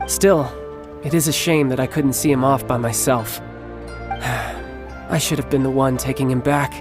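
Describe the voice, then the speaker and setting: A man's voice: mid-range voice, steady tone, a little slow, natural voice. A man playing a suave, playful young warrior and doting big brother with a polished, teasing manner in a video game. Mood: wistful. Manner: polished, smooth-talking, teasing. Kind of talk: English game dub acting. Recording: background music under the voice.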